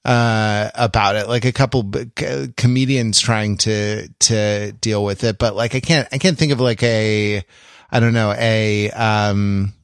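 A man's voice: on the low side, steady tone, a little slow, clear, resonant tone, no room sound, studio quality. A middle-aged American man chatting analytically on a podcast, digressing and often searching for his words. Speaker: podcast panelist. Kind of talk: casual analytical chat.

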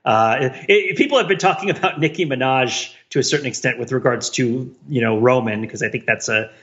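A man's voice: medium pitch, a little fast, fairly bright tone, lo-fi sound. A man co-hosting a podcast, chatting casually in a wry, rambling way. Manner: wry, rambling, prone to chuckling. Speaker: podcast co-host. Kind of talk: casual bantering podcast chat.

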